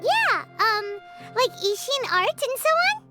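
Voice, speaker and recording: A woman voicing a tiny childlike fairy companion in a video game, curious and easily flustered, squeaky and hesitant, with tinkling music behind. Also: big pitch swings, takes it slowly, heavily acted. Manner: childlike, hesitant, refers to herself by name. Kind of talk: video game character dialogue.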